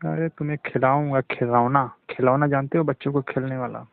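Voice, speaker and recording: A man's voice: medium pitch, steady tone, a little fast, creaky. Man acting teasing rustic lover, tinny phone line. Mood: coaxing. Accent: Bhojpuri-accented Hindi. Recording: narrow tinny phone-call audio.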